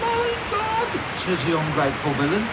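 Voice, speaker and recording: An actor playing a callous, self-important workhouse beadle with an English accent, talking pompously in a radio period drama. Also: male voice, sits high, huge pitch swings, normal speed. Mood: scornful relish. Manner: pompous, wordy storytelling. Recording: very noisy medium-wave radio recording.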